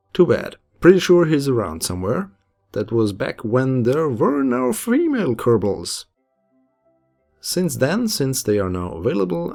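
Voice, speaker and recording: Slow, gravelly German-accented man. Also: on the low side. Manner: chatty, self-deprecating, lively intonation. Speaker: gameplay commentator. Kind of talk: casual gameplay commentary. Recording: voice-over with faint background music.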